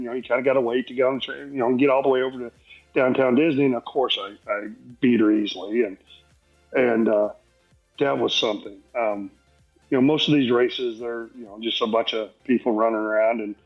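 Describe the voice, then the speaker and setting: A man's voice: mid-range voice, fairly even intonation, fairly quick. A man guest telling stories casually in a folksy, rambling, self-deprecating way. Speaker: podcast guest. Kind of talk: casual anecdotal storytelling. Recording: dull lo-fi sound, faint music.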